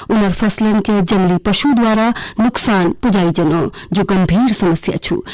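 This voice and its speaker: A woman with a Kumaoni accent reads a scripted regional radio news bulletin in a formal, measured way. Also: mid-range voice, darkish tone, dry recording, low-quality recording. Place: radio studio.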